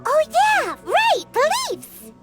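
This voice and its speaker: A tiny, chirpy fairy sidekick from a video game, extremely high and squeaky. The delivery is childlike and bouncy in pitch, over clear background music. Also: female voice, wildly swooping pitch, takes it slowly, fairly bright tone, exaggerated voice. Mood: pondering. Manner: refers to self in third person. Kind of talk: video game character dialogue.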